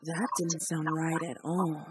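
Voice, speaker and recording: Steady fanfic story narrator over music. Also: medium pitch, unhurried.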